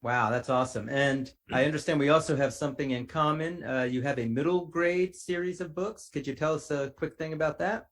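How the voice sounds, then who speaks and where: A middle-aged man speaking as a podcast host and interviewer, with an articulate and measured delivery. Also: a little high.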